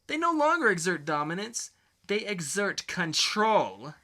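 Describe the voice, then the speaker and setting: Comic-book villain played by a man: whiny male dictator, pitched high, swooping, pompous. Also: big pitch swings, unhurried, bright, forceful tone, heavily acted. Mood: ranting, gloating. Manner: melodramatic, pompous. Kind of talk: amateur comic dramatic reading of a comic book.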